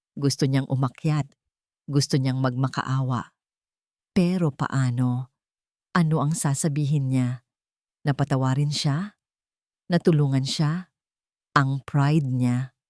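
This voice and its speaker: A woman's voice: sits low, darkish tone, no echo, studio quality. A woman reading a dramatic love story as a scripted audiobook narration.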